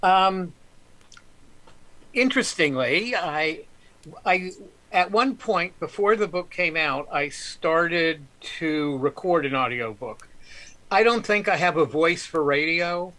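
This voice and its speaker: Male author guest, bright and higher-pitched, casual rambling storyteller. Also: lively intonation, slow, rough recording. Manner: rambling, many ums and restarts. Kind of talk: casual storytelling on a live stream.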